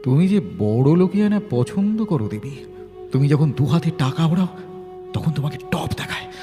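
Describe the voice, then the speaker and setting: A man's voice: a little high, animated, normal speed, natural voice. A man playing a devoted, street-bred, self-made lover, protective and urgent, in melodramatic audio drama style. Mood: tender, brooding. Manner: melodramatic, urgent, swinging from hushed to forceful. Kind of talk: Bengali audio drama acting. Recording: long hall reverb, faint music behind.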